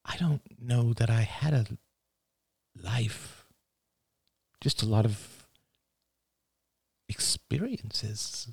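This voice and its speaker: A man's voice: mid-range voice, very slow, creaky, pauses often, breathy edge, no room sound, pitched above the performer's own voice, exaggerated voice. A man voicing a wounded adult daughter in an audiobook, murmuring and hesitant, distant in temper. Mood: distant, numb. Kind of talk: dramatic audiobook dialogue.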